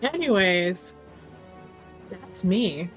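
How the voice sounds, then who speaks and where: A woman's voice: lowish voice, lively intonation, drawn-out words, faint music behind. A woman talking casually and playfully as a player on a tabletop roleplaying stream. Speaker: player in a tabletop game show. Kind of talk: casual, playful self-introduction.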